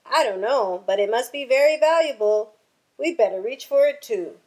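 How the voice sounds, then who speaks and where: A woman voicing curious, eager little mice in a storybook, speaking in a hushed manner. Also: a little high, animated, moderate pace, natural voice.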